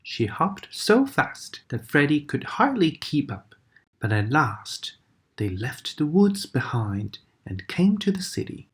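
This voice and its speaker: A woman reading a bedtime story aloud to children, gentle and animated. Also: deep voice. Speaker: storybook reader.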